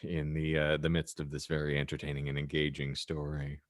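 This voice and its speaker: A man hosting a podcast in casual banter, speaking in a measured, wordy way with tongue-in-cheek formality. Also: extremely low pitch, monotone, no echo, studio quality. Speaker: podcast host.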